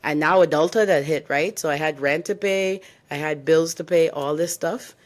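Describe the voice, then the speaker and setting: Podcast co-host from the Bahamas, young woman, low voice, candid storyteller. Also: normal speed. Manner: candid, storytelling, advice-giving. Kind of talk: casual podcast chat.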